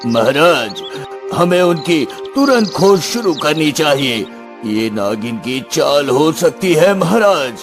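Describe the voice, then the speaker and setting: A man's voice: a little high, very animated, takes it slowly, pitched above the performer's own voice, full character voice. Holy sage, played by a man: solemn, commanding, raised declamatory voice, cartoon fairytale over music. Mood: urgent. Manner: declamatory, invoking.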